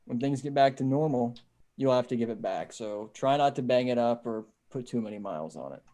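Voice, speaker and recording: A man plays a decent sheriff's deputy surviving hard times. His voice sits at a medium pitch. The delivery is plain and casual, like a dramatic script read over a video call. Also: brisk, dark tone, natural voice. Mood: calm, reassuring. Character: decent, steady male small-town sheriff's deputy, survivor. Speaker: actor in an amateur table read.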